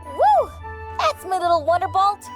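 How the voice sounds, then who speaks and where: A woman puts on a raspy, high-pitched pegasus mom. Her delivery leaps around, rowdy and affectionate, like a cartoon-pony parent in an audio tale. Music plays behind. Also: big pitch swings, normal speed. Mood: excited, proud. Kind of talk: audio fan fiction character acting.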